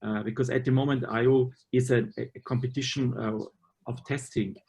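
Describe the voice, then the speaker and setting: A man from Austria who heads a university teacher-training department, speaking in a video meeting in a measured, skeptical way with frequent restarts. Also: mid-range voice, steady tone, creaky, a little mellow, husky.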